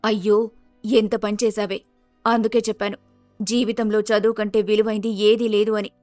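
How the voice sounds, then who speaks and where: A woman's voice: medium pitch, little pitch movement, moderate pace, a little mellow, no echo, faint music behind, natural voice. A woman voicing a concerned, earnest middle-aged widowed mother in a family moral story. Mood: reproachful.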